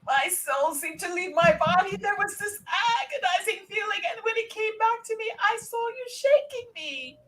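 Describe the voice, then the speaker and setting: A woman's voice: very high voice, normal speed, hardly any pauses, a bit bright, a little hiss or hum, rough recording. A woman playing a troubled young female sleepwalker in a horror play, helplessly lured and dramatically anguished. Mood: anguished, confessing.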